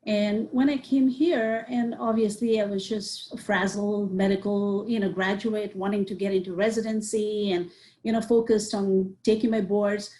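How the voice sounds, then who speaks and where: Earnest woman, mellow voice. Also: mid-range voice, level tone, moderate pace, rough recording. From Nepal. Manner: earnest, listing points with frequent "you know". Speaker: psychiatrist guest. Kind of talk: interview answers.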